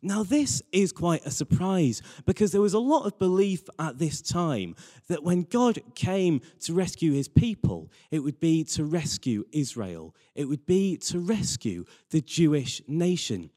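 A man's voice: on the high side, sing-song, a little slow, mellow. A man preaching a sermon with personal anecdotes, earnest and repeating phrases for emphasis. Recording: reverberant hall.

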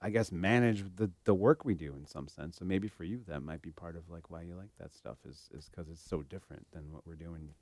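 A man's voice: sits low, a little fast, few pauses, darkish tone, dry recording. A man co-hosting a podcast in casual, teasing, joking banter. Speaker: podcast co-host. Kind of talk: casual banter between co-hosts.

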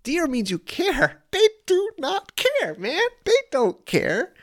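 A man's voice: extremely high pitch, huge pitch swings, slow, fairly bright tone. A man in his late thirties, an internet marketer, ranting in a casual vlog in an exasperated, repetitive way. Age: late 30s.

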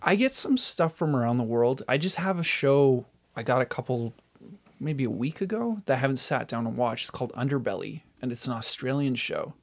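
Man with a creaky voice, chatting casually. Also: medium pitch, expressive, a little fast. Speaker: interview guest, TV DVD website founder. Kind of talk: casual enthusiast chat.

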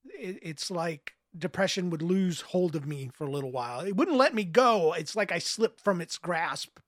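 High-voiced male storyteller, very dry sound. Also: a bit bright, dry recording. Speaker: author narrating his story, interviewee. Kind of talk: audiobook narration and reflective interview answers.

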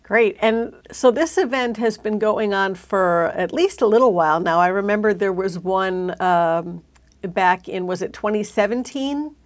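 A woman's voice: medium pitch, a little slow. A woman hosting a podcast, introducing and interviewing a guest. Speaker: podcast host. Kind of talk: scripted introduction and interview questions.

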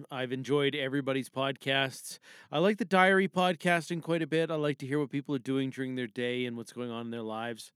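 A middle-aged man, tipsy, giving a rambling, halting, unscripted casual monologue as a podcast contributor. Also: mid-range voice, sharp tone, clean tone, dry recording, clean studio sound.

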